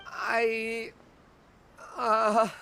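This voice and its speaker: A woman's voice: a little high, monotone, drawn-out words, creaky, bright, forceful tone, a little hiss or hum, full character voice. A woman voicing a deferential drink seller in a video game, polite, hesitant and easily flustered.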